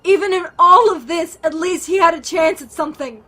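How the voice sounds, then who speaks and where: Woman acting a bright, high-voiced bitter woman. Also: a little fast. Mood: despairing, pleading. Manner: blunt, defensive, cursing. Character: bitter, guilt-ridden woman, foul-mouthed recovering addict. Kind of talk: dramatic audio drama acting.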